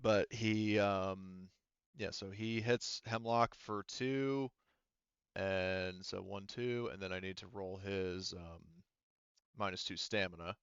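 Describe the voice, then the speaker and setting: Male game master, smooth voice, informal and profane, close dry sound, slightly muffled. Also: sits low, steady tone, takes it slowly, fairly bright tone, dry recording. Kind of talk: casual talk running a tabletop sci-fi game.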